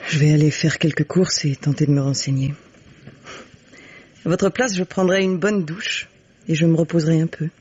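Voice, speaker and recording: A husky, fairly low-voiced woman as a protective widow in a vintage radio mystery. She is composed and earnest, and the old recording sounds dull and lo-fi. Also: fairly even intonation, moderate pace, pauses often, a little mellow. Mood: calm, reassuring. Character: resolute, protective widow sheltering a fugitive. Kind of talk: French radio mystery drama acting.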